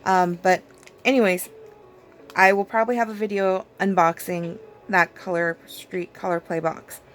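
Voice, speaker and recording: A woman vlogger losing her voice, her speech hoarse and raspy as she chats casually through a nail routine with plenty of fillers. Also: on the low side, steady tone, measured pace, faint music behind. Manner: rambling, chatty, with many fillers and small laughs. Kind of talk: casual chat while doing her nails.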